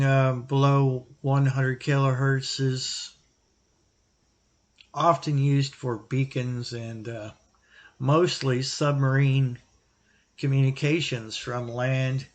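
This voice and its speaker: A man with a mid-pitched voice talks through a product recommendation as a hobbyist reviewer. He explains things informally and thinks aloud as he goes. His phrasing is halting and broken into short pieces. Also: little pitch movement. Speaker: YouTube radio hobbyist and reviewer.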